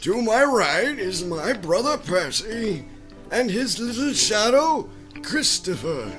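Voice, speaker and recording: A man playing a frail, genteel old patriarch in a comedic horror audio drama, speaking haltingly. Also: high-pitched, very animated, over music, exaggerated voice. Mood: welcoming, halting. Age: older. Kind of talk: comedic horror radio-play acting.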